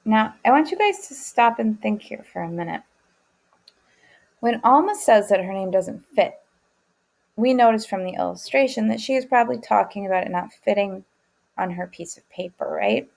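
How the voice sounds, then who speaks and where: A woman's voice: mid-range voice, very animated, moderate pace, creaky, darkish tone. A woman teacher leading an interactive picture-book lesson for children, encouraging them and prompting with questions. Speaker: teacher reading aloud to children.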